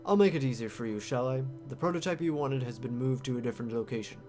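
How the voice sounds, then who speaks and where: A man's voice: medium pitch, quick pace, a little mellow, soft background music, lightly acted. A man voicing a scheming, guarded insider in a visual novel game, casual and pressing as he bargains. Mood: coolly pressing. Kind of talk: visual novel character read-aloud.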